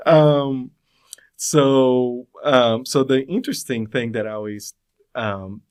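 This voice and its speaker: A man in his forties from Brazil, speaking English with a Brazilian Portuguese accent, talking as a guest in an interview, thoughtful with many pauses and fillers. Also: mid-range voice, clear, resonant tone. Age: 40s. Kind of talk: casual interview conversation.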